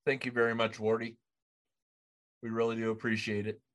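A man's voice: mid-range voice, monotone, fairly quick, fairly bright tone. A man hosting a casual gaming podcast in a conversational style. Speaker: podcast host.

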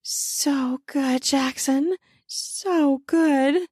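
A woman narrator voicing an eager, bold female lover in an audiobook, breathy, gasping and pleading. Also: drawn-out words, darkish tone, dry recording, studio quality. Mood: gratified. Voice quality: high, breathy moaning.

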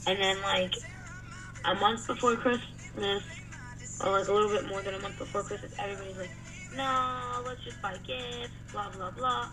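A woman chats plainly and steadily about everyday things. She sits off from the mic in a car, with clear music playing behind her. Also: medium pitch, fairly even intonation, normal speed, recorded from a distance, rough recording. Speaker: co-host. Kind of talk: casual podcast chat.

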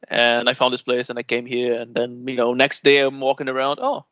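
A man from Brazil with a Brazilian Portuguese accent, a native Portuguese speaker chatting relaxed in an interview, laughing often and filling pauses with uhs. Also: medium pitch, no room sound. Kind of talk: casual conversational interview. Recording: dull lo-fi dry sound.

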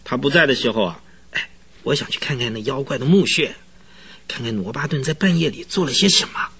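An actor playing an agitated, talkative male client who recounts eerie events in a halting, rambling way in a radio drama, with a husky voice. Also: mid-range voice, pushed and bright, exaggerated voice. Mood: eager, uneasy. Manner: rambling, halting, full of interjections. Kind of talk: Chinese radio drama acting.

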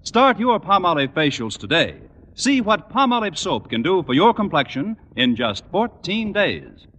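Bright, animated American radio announcer man. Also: a little high, normal speed, lo-fi sound. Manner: polished, emphatic. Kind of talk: 1940s-50s radio announcing and sponsor pitch. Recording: noisy old radio broadcast, reverberant hall.

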